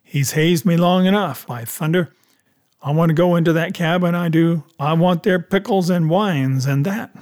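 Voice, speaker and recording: A man voicing a surly, impatient pirate coxswain with growling, rough sailor speech in an adventure audiobook. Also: on the high side, moderate pace, a little mellow, close to an everyday voice. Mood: resentful, greedy.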